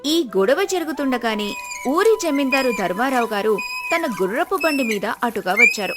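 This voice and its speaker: A woman's voice: high voice, big pitch swings, normal speed, over loud music. A woman narrating a scripted moral story as a storyteller.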